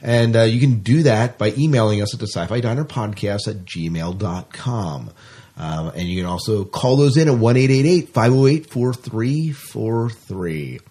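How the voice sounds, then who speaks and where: A man's voice: lowish voice, expressive, moderate pace, mellow. A man chatting casually as a podcast co-host, rambling along and often repeating his words and phrases.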